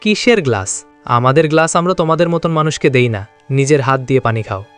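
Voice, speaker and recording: Male actor as snobbish boss, curt, impatient, contemptuous, middling pitch, cartoon, music behind. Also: animated, a little fast, a bit bright, smooth, lightly acted. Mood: scornful. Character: haughty, impatient boss who scorns poor labourers. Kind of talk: cartoon dialogue.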